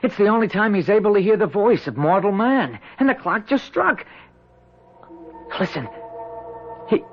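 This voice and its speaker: An actor playing a simple, superstitious country soldier with an American accent, folksy and insistent, in a radio drama. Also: male voice, high voice, very animated, moderate pace. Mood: solemn conviction. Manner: folksy, naive, insistent, chanting. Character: simple, earnest, superstitious country man, a Revolutionary War soldier. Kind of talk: 1970s radio drama acting. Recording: dull 1970s radio recording with music.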